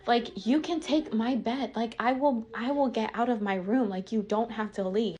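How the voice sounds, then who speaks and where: A woman's voice: a little high, normal speed, warm tone. Emotional, venting woman telling personal stories. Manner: emotional, venting, says "like" constantly; passionate, emphatic. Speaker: online content creator. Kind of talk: candid personal storytelling.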